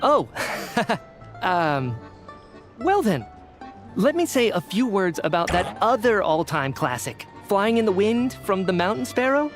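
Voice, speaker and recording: A man's voice: sits high, big pitch swings, measured pace, exaggerated voice. A man voicing an earnest, flustered researcher in a video game, hesitant and prone to stammering. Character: flustered, earnest male researcher, an apologetic theater fan. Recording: music under the voice.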